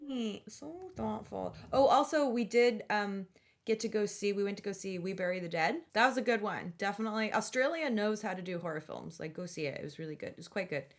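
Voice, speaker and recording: A woman's voice: mid-range voice, brisk. A woman podcast host in casual, chatty conversation with friends. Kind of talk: casual chat about horror films.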